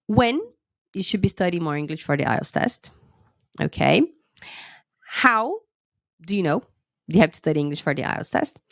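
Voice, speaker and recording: A woman English teacher giving a live-streamed lesson, a little sick with a cold and a sore throat, talking slightly slowly and often checking in with "okay?". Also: mid-range voice, no echo, clean studio sound. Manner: chatty, lots of "okay?" checks with viewers. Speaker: English teacher and IELTS tutor.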